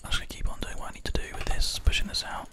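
A man whispers soft, unhurried, soothing ASMR commentary over his gameplay, breathy and close up on a binaural microphone. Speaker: host, gamer. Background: keyboard and mouse clicking.

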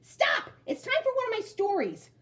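A bossy little bird from a cuckoo clock, as a woman reader puts it on. The voice is pitched high and jumps around as it scolds, like a cranky children's storybook character. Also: huge pitch swings, normal speed, heavily acted. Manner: scolding, exclaiming. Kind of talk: storybook read-aloud.

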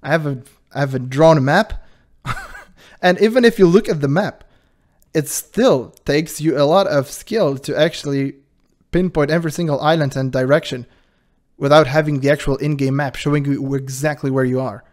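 A man with a high-pitched voice hosting his channel, giving casual commentary in an animated, defensive way and laughing often. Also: moderate pace. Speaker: channel host reacting to a video.

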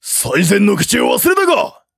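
Hot-headed proud general, acted by a male narrator, pitched up. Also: huge pitch swings, slow, gravelly, breathy edge, exaggerated voice. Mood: furious. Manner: taunting, cursing, commanding shouts. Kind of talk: dramatic audiobook character reading.

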